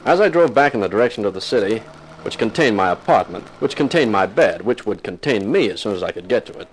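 An actor playing a wisecracking, cynical private detective in a 1950s radio drama, with a wry, flippant delivery. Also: male voice, fairly low pitch, lively intonation, quick pace, a little hiss or hum, close to an everyday voice, low-quality recording. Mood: brooding narration.

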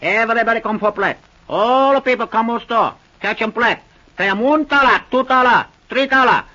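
An actor playing an agitated elderly Chinese shopkeeper with a Chinese accent and halting pidgin English, in 1940s radio drama style. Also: male voice, very high voice, bright, forceful tone. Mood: aggrieved, fretful. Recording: muffled old radio recording.

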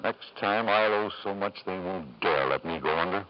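A man's voice: sits low, normal speed, close to an everyday voice. An actor playing an ambitious, scheming father in 1950s radio drama, persuasive and theatrical, on an old broadcast recording. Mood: troubled, resolute. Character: ambitious, scheming father, smooth-talking and desperately gambling. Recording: dull lo-fi vintage radio broadcast.

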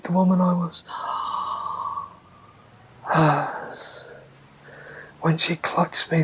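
A man as a brooding, breathy narrator. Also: high voice, full character voice, rough recording. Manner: brooding, measured, slipping into hushed whispers. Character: haunted narrator, guilty and uneasy. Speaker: first-person narrator. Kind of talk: literary narration.

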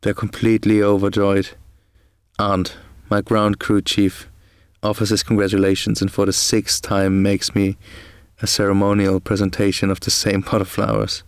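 An actor playing a proud young wartime fighter pilot, reading his logbook in a measured, restrained manner. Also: on the low side, monotone, clean tone, no echo, clean studio sound, lightly acted. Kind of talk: dramatised diary reading.

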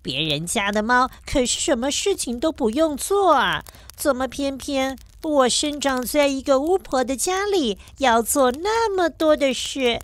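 男人扮演的懒洋洋爱抱怨的黑猫. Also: male voice, very high voice, animated, fairly bright tone, voice pushed up, full character voice. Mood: whiny complaining. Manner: whiny, complaining, drawn-out. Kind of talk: storybook voice acting.

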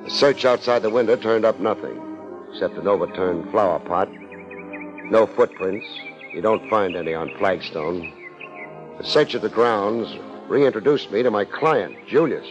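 A man's voice: fairly low pitch, moderate pace, close to an everyday voice. An American man playing a hard-boiled, wisecracking private investigator in a 1950s radio drama, sardonic and unruffled. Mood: wry narrating. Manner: sardonic, unruffled, wry first-person narration and blunt questioning. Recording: muffled 1950s radio recording with music.

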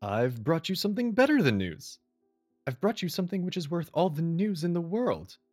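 Victorian doctor, male actor, devoted and effusive, lively swooping higher voice, audio drama with music underneath. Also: moderate pace. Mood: excited, eager. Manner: eager, effusive. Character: earnest Victorian gentleman doctor, excited, devoted.

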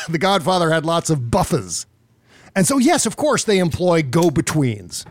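Animated, higher-pitched sarcastic man. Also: unhurried, no room sound, clean studio sound. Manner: sarcastic, exasperated, profane. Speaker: podcast host. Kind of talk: casual political commentary.

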